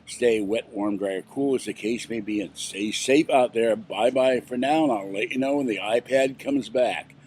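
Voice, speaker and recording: A man's voice: medium pitch, normal speed, hardly any pauses, a little mellow, slight background noise. An older man from Pittsburgh telling a rambling, indignant story straight to camera in a casual video blog. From Pittsburgh, USA. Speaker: vlogger. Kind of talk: casual vlog rant.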